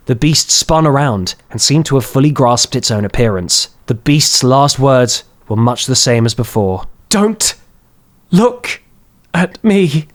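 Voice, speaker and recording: A man reading scripted fantasy horror as an audiobook narrator, in a dramatic storytelling style. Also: medium pitch.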